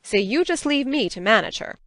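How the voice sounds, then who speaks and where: A stern middle-aged spinster of the farm , acted by a woman, speaks with brisk authority and a very animated pitch. Her manner is crisp, practical and scolding, in an audiobook drama. The sound is dry and close. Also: no room sound. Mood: grudging, firm.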